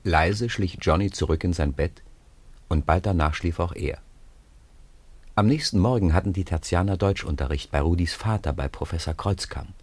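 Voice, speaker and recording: A man narrating a 1950s radio play in a calm, measured storytelling manner. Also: sits low, husky, no room sound.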